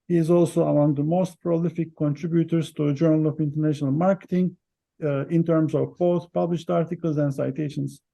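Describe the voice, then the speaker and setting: A man's voice: fairly high pitch, creaky, warm tone. A man hosting an interview, giving a prepared introduction and putting questions to his guest. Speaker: interviewer, host.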